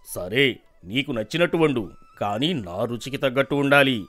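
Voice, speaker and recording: Man acting a bossy landlord, animated and exclamatory. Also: mid-range voice, moderate pace, lightly acted. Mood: commanding. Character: imperious village landlord, effusive when pleased, quick to rage. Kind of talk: Telugu animated moral story dialogue.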